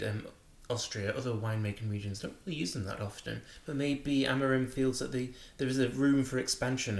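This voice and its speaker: A man co-hosting a wine news show, explaining wines and trends in a measured, enthusiastic way. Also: lowish voice, reverberant. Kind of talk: conversational wine news discussion.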